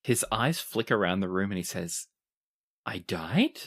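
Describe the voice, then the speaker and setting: A man's voice: fairly low pitch, moderate pace. A man narrating a tabletop roleplaying game as its game master.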